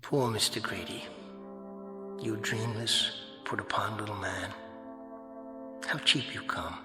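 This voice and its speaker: Echo-drenched taunting inner-voice spirit, mid-pitched, smug, silky, formal, music underneath, radio drama. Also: male voice, slow. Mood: scornful mockery. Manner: mocking, silky, formal, goading. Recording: echoey hall reverb, music underneath.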